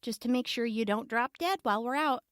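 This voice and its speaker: A woman's voice: on the high side, brisk, no room sound, clean studio sound, close to an everyday voice. A woman playing a blunt, sardonic wasteland medic in an audio drama, with an irreverent manner. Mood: matter-of-fact.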